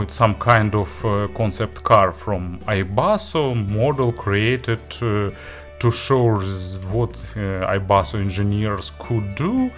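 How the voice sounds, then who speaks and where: Male tech reviewer, lowish voice, non-native English speaker, halting unscripted delivery, music underneath. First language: not English. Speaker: audio gear reviewer. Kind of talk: unscripted product review talk.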